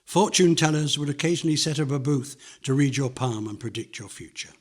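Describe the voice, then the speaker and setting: Older male narrator, husky voice at middling pitch, measured nostalgic memoir read. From London, England.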